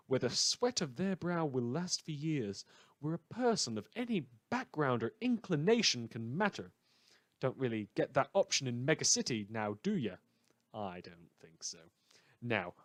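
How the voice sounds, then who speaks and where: A man acts as a chatty radio host in a superhero drama, morally muddled and sarcastic. His pitch moves around in a lively way, and he keeps posing rhetorical questions. The sound is dry and close, with no room echo. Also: close to an everyday voice. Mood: wry folksy. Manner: rhetorical questions, sarcastic, self-correcting asides. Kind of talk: fictional radio talk show monologue.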